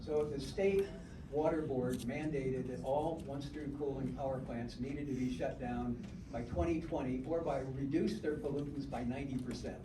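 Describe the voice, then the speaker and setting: A man's voice: medium pitch, steady tone, normal speed. A man answering audience questions at a homeowners meeting, informative and full of uh and um. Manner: informative, with frequent uh and um. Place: community meeting hall. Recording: echoey, distant, noisy meeting room.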